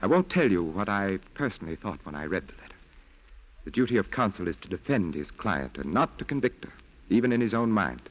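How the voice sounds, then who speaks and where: A man's voice: sits low, big pitch swings, a little fast. An actor in a 1940s radio drama playing a principled, stern defense lawyer who is torn by his duty. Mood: stern, urgent. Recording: muffled 1940s radio broadcast recording.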